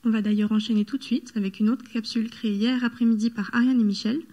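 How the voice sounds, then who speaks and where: A woman's voice: a little high, fairly even intonation, fast talker, mellow. A young woman presenting a youth radio show, reading from a script. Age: young adult. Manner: reads from a script.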